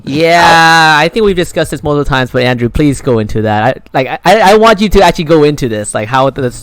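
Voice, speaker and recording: A man's voice: a little high, expressive, a little fast, a bit bright, no room sound. A man co-hosting a podcast in loose, casual banter. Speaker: podcast co-host.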